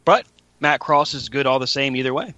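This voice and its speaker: A man's voice: mid-range voice, brisk, pushed and bright, no echo. A man hosting a wrestling podcast, chatting casually, joking and laughing at himself. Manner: joking, laughs often, self-mocking. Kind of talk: casual chat. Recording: dull lo-fi podcast audio.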